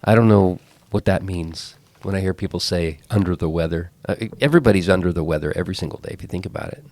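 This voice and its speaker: Low-voiced congested man hosting a podcast. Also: fast talker, darkish tone. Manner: rambling, self-correcting. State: congested head cold. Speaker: podcast host. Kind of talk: casual talk.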